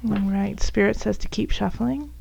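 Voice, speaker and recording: A woman with a raspy, hoarse voice from bronchitis, softly reading tarot cards for her viewers, at times almost whispering. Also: medium pitch, moderate pace, a little hiss or hum. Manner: soft, at times whispery, with fillers and restarts. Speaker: tarot reader.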